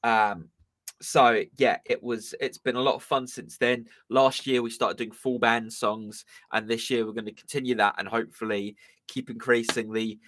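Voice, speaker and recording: A man's voice: mid-range voice, steady tone, normal speed, fairly bright tone, no room sound. A young man with a southern English accent chatting casually as a musician hosting his live stream, rambling with lots of ums and uhs, his voice a bit strained. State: slightly strained voice. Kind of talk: casual chat.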